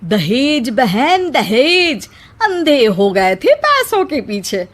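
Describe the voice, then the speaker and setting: A woman's voice: high-pitched, wildly swooping pitch, slow pace, pitched above the performer's own voice, exaggerated voice. A woman voicing a gossiping neighbourhood woman in a story, with a mocking, exaggerated manner.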